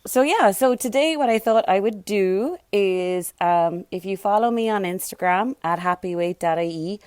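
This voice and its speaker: Hoarse, chatty woman podcaster. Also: fairly low pitch, a little mellow, dry recording, studio quality. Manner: chatty, rambling, lots of fillers and tangents. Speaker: podcast host. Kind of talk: casual solo podcast chat.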